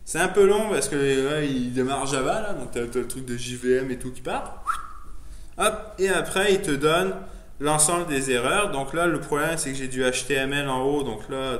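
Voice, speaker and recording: A man, a non-native French speaker, giving a loose, chatty software demo full of fillers and restarts. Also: mid-range voice, moderate pace. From immigrant to Canada. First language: not French. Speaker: presenter showing his editor setup. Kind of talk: casual live software demo. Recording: echoey room, faint noise, keyboard typing.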